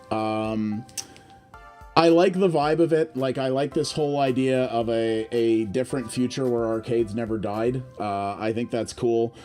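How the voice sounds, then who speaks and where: A man's voice: medium pitch, darkish tone. A male streamer talks to his viewers in a casual, unscripted way, with a mid-range voice. He hosts an indie game show and tends to hedge and rephrase his points as he works through them. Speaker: host of an indie game livestream. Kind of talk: casual unscripted livestream commentary.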